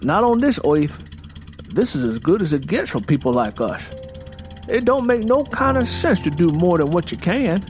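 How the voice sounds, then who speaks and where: Man acting an animated, hot-tempered farmer husband. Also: normal speed. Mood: insistent, preachy. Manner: emphatic, folksy, preachy, swinging in pitch. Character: hot-tempered, domineering, hard-drinking husband, a poor farmer. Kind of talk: dramatized audiobook character dialogue. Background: music bed.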